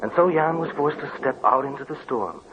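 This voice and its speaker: An actor playing a grave man who recounts his family's legend in measured, solemn 1940s radio drama narration. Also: fairly high pitch, animated, close to an everyday voice. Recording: dull old radio recording with faint hiss.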